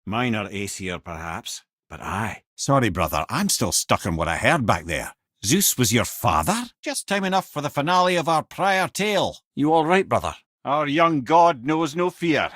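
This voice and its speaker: A man's voice: mid-range voice, normal speed, no room sound, clean studio sound. A man voicing a witty, worldly old sage for a video game, telling tales with a Scottish accent in an eloquent, avuncular way. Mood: wry, conversational, then encouraging. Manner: wry, eloquent, avuncular, expressive. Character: witty old severed talking head, worldly storyteller sage. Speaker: companion and storyteller. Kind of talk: video game voice acting, storytelling.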